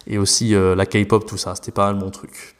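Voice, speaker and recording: A man's voice: fairly low pitch, level tone, moderate pace. A French man, a language teacher, talking casually as a podcast guest, rambling with many fillers and restarts.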